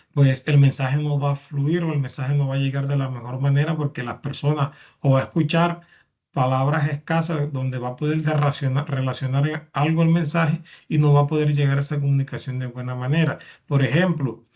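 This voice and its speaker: A man's voice: fairly high pitch, darkish tone. A man giving a recorded university lecture, explaining step by step and often stumbling over repeated words and fillers.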